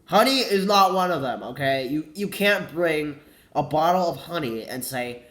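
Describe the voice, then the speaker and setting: A man's voice: fairly high pitch, animated, normal speed, fairly bright tone. A teenage boy with a cold, congested and coughing, rambling casually and correcting himself, saying "like" a lot. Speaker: vlogger.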